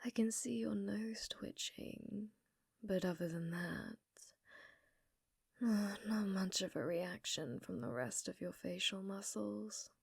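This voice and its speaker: A woman playing an obsessive, flirtatious scientist in an intimate ASMR roleplay, with soft breathy speech and precise clinical phrasing. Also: medium pitch, slow, dry recording, studio quality, full character voice. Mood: clinical, curious. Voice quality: soft, breathy, often whispered.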